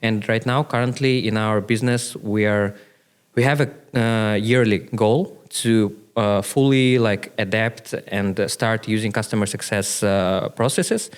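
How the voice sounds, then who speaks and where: Hesitant man, low smooth voice. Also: steady tone, measured pace. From Russia. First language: Russian. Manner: hesitant, many "uh" and "like" fillers, speaks in short bursts. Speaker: podcast guest, business owner. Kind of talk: casual interview conversation.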